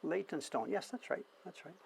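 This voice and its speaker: A man's voice: high-pitched, moderate pace, creaky, darkish tone, a little hiss or hum. A man in his seventies with a generic Canadian accent, chatting casually in a rambling, musing way as he works. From Canadian prairies, born in England. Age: 70s. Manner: rambling, musing, self-questioning. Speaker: printmaker hosting a studio stream. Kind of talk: casual reminiscing chat while working.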